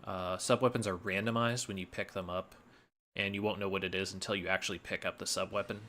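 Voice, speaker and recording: A man's voice: sits low, little pitch movement, quick pace, pushed and bright. A man giving a casual gameplay commentary as a speedrunner explaining his play.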